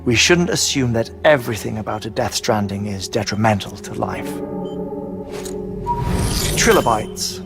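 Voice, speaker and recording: Earnest nerdy scientist in a game, slow deliberate professor talk, pauses a lot, music playing behind. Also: male voice, fairly low pitch, gravelly. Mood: intent, explanatory. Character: earnest, eccentric male scientist expounding patchy theories. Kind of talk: video game cutscene acting.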